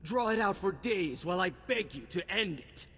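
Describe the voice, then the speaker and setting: A man playing a bitter, defiant Sith villain in a video game, taunting with theatrical, drawn-out menace. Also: sits high, animated, fairly bright tone, breathy edge, in a large hall, heavily acted.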